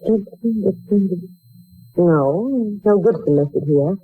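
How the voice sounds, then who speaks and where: A woman's voice: mid-range voice, lively intonation, slow pace, husky, full character voice. An actress playing a proud, polite young woman looking for work, in a dramatic 1940s radio style. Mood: uneasy, wondering. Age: young adult. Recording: muffled old 1940s radio recording.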